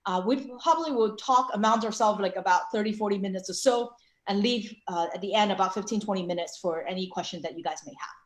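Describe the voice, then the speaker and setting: A woman's voice: mid-range voice, quick pace. A woman hosting a casual online panel over a video call, chatty and full of fillers. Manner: filler-heavy, chatty, frequent restarts. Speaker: webinar host and co-founder. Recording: echoey room.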